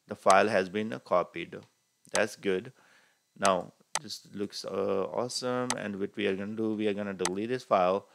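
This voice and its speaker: A man teaching a screen-recorded software tutorial, talking unhurriedly with frequent "uh" fillers as he clicks through each step. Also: lowish voice, gravelly. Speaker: tutorial instructor. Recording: faint hiss behind voice.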